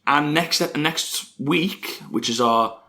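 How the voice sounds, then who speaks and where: A man's voice: fairly high pitch, slow pace, bright, forceful tone. Chatty man co-hosting a podcast. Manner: chatty, repeats words, laughs often. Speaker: podcast co-host. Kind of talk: casual chat.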